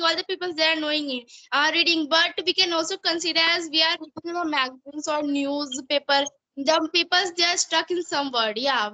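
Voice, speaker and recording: A young woman speaks English with an Indian accent, Hindi being her first language. Her voice is high-pitched and bright. She explains earnestly in conversation, often circling back to the same phrasing. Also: rough recording. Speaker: student giving English-learning advice. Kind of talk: conversational English practice interview.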